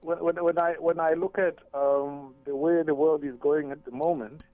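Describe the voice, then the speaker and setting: A man's voice: on the high side, fairly even intonation, moderate pace, dry recording. A man from Zambia, a guest on a radio talk show, speaking thoughtfully by phone and often repeating words as he searches for them. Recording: tinny phone line.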